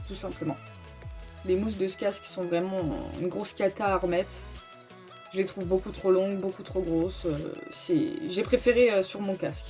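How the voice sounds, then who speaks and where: Woman doing a chill how-to voiceover, mid pitch, explains step by step, bit of music under it. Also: darkish tone. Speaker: host of a motorcycle gear tutorial. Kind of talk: casual step-by-step tutorial voice-over.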